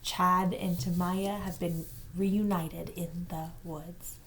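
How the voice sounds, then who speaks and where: A woman, a teacher, reading a children's novel aloud with expressive narration. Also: on the low side, takes it slowly, a little hiss or hum.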